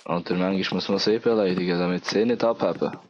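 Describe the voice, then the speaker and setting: A young man with a Bernese Swiss German accent and a somewhat low voice talks through a game session. He rambles and mocks himself, sounding a bit congested from a cold. A clear background hiss runs under the home recording. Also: steady tone, fast, lo-fi sound. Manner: rambling, self-mocking, thinking aloud. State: has a cold. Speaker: let's play gamer. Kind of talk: casual gameplay commentary.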